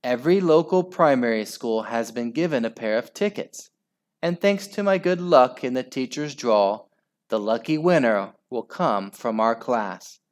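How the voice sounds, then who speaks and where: A man voicing a stern, strict female schoolteacher in a children's story, speaking slowly and sharply. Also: medium pitch, lively intonation, close to an everyday voice. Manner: snapping, stern.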